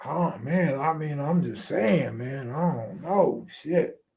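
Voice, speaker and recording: A man's voice: fairly high pitch, slow pace, creaky, darkish tone. An American man hosting a live stream, chatting casually in slangy, profane, streetwise talk.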